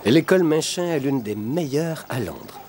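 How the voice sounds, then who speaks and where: A man playing a loving, courteous, wealthy father in a French cartoon dub, gentle and earnest. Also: mid-range voice, big pitch swings, normal speed, background noise, lightly acted. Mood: tender, reassuring.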